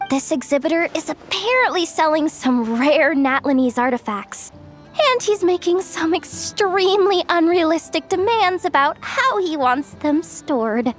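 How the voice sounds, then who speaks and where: A woman's voice: extremely high pitch, lively intonation, a little slow. A woman playing a frazzled, earnest young female expo manager in a video game, flustered and stammering. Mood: exasperated. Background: music.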